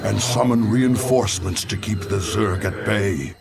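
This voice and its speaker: A man playing a grave, weary old alien warrior mystic in a video game, with a gravelly voice and a solemn, portentous delivery. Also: fairly low pitch, measured pace, very noisy. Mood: ominous, brooding. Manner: solemn, theatrical, portentous phrasing. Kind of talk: dramatic scripted game narration and dialogue.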